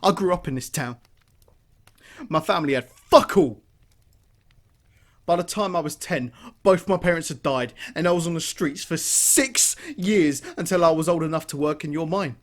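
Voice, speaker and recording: An actor playing a grieving, vengeful miner and widowed father, bitter and seething, erupting into shouts in an audio drama. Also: a little high, normal speed, natural voice. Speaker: mine worker.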